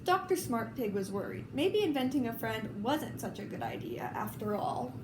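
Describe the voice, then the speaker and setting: A woman reading a children's story aloud in an expressive, informal way. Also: fairly high pitch, normal speed. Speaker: storybook reader. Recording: roomy, clearly noisy home recording.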